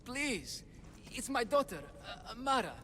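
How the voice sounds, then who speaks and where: An actor playing a nervous, theatrical swindler in a video game, stammering through hesitant sales patter. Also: male voice, very high-pitched, big pitch swings, a little slow, sharp tone, a little hiss or hum, full character voice. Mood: flustered, evasive. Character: nervous, theatrical swindling huckster, a frightened father. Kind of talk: video game character acting. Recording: music behind the voice.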